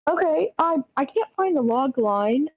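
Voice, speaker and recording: A woman thinking aloud in casual chat, giving feedback in a halting, tentative way. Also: sits high, expressive, highs cut off. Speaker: participant giving script feedback.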